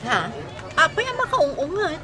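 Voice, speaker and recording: A woman's voice: high voice, sing-song, moderate pace, music in the background, crowd noise. A woman playing an eager, nosy young single friend in an Ilocano-accented radio comedy-drama, exclamatory and teasing. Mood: curious.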